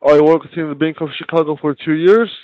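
A man's voice: a little high, steady tone, brisk, no room sound, phone-quality sound. A man working a call center line, flustered and apologetic, often asking the caller to repeat. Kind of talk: phone call.

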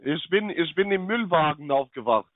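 A man argues over a thin, tinny phone line, stumbling over his words and repeating the same demand again and again. His voice sits fairly high. The sound is dry and narrow. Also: lively intonation, no room sound. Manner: halting, repeats himself, demanding. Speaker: phone scammer being baited. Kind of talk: heated phone argument.

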